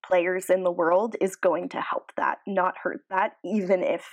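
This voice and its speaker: A woman's voice: on the low side, fairly even intonation, unhurried. A woman co-hosting a podcast, casually discussing sports and giving reasoned opinions. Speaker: podcast co-host.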